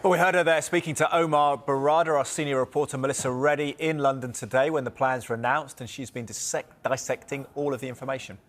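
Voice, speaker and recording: Mid-pitched man presenting the news. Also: fairly even intonation, fast talker, hardly any pauses.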